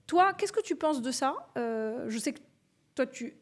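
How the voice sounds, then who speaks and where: A woman's voice: sits high, lively intonation, a little slow. A woman who is an executive coach, hosting a casual podcast interview, chatty and thinking aloud as she builds her questions. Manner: conversational, frequent listening murmurs, thinks aloud.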